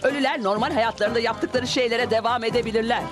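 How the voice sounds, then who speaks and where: A woman's voice: a little high, steady tone, quick pace, close to an everyday voice. An actress playing an eccentric, bossy spiritualist ghost hunter in a cartoon dub, earnest and theatrical as she lectures. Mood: earnest, insistent. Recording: music behind the voice.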